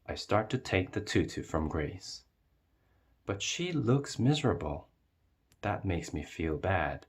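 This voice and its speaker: A man with a low, husky voice reads a children's storybook aloud. He takes his time, and his pitch moves expressively as he narrates. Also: sing-song, slow pace.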